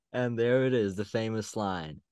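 A man's voice: on the low side, a little slow, darkish tone, clear, resonant tone, no room sound. A man hosting a podcast, chatting casually and enthusiastically with a guest. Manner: enthusiastic, appreciative. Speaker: podcast host. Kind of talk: casual interview chat.

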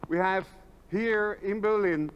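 A man's voice: sits high, slow pace, lo-fi sound, slight background noise. A middle-aged man speaking as an executive presenter in a conference keynote on a large indoor stage.

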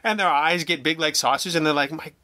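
A man talking casually as an interview guest, telling stories in an animated, jokey, self-deprecating way and acting out remarks he quotes. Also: a little high, brisk, no room sound. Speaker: guest, audio drama writer and producer.

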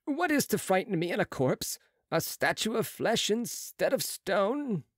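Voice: medium pitch, sing-song, a little slow, no echo, clean studio sound, voice pushed up. A reader putting on a proud, boastful young male surgeon. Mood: lofty. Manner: boastful, lofty, sharp retorts. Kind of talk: audiobook character reading.

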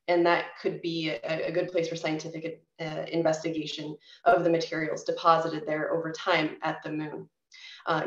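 Woman, 30s, lower voice, explaining patiently on a webcam in an echoey room with dull sound. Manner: measured, explanatory, with frequent "um" pauses. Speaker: guest speaker answering questions. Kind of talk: public lecture Q&A over video call. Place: home office, indoors. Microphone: webcam at desk on video call. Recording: roomy, dull video-call audio.

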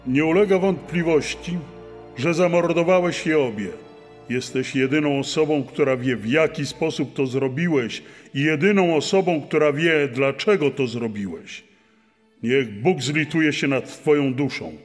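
A stern judge, played by a man, delivers solemn words to a convicted killer. The voice is fairly high for a man, in long reverb with music behind it. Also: measured pace, close to an everyday voice. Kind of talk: dramatized courtroom speech. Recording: long hall reverb over background music.

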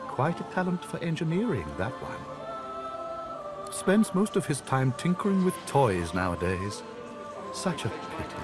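An actor playing a grave, pious brother of a cathedral in a fantasy video game, speaking in a measured, formal, solemn manner. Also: male voice, medium pitch.

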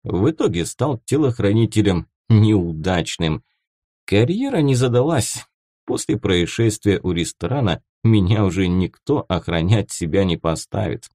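A man's voice: on the low side, animated, a little slow, dark tone, no room sound, studio quality. A man reading first-person fiction as a scripted audiobook narration.

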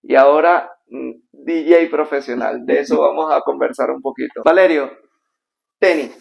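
A man's voice: fairly high pitch, normal speed, gravelly, slightly rough. A man hosting a casual interview in a friend's house, prompting his guest with short, friendly interjections. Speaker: podcast host.

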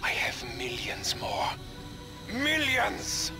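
A man's voice: high-pitched, wildly swooping pitch, very slow, creaky, heavily acted. A man voicing a menacing demon overlord in a video game, grandiose and taunting, full of contempt for mortals. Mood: menacing, gloating. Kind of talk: video game villain acting. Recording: echoing, with loud music and effects.

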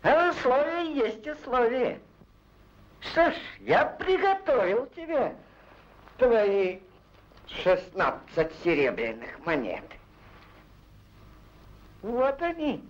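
A man's voice: extremely high pitch, big pitch swings, heavily acted. An actor playing a sly, greedy, fat rich herd owner in an old cartoon, wheedling and drawing out his haggling. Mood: sly, gloating. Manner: wheedling, drawn-out, crafty haggling. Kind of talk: old Soviet cartoon acting. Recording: dull, muffled old film soundtrack.

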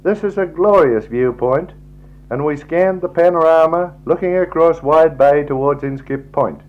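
A man with an Australian accent gives a measured, formal scripted travelogue narration in a 1960s style. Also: on the high side. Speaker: slideshow narrator. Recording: dull, lo-fi 1960s tape recording.

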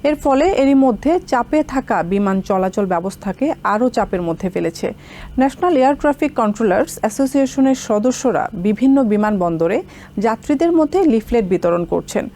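A woman's voice: a little high, animated, a little fast, dark tone, slight background noise. A woman news presenter reading a scripted report.

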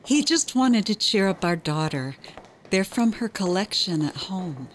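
A woman playing a gentle, devoted mother of a troubled girl in an audio drama. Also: medium pitch, sing-song, a little slow, a little hiss or hum. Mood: patient, coaxing.

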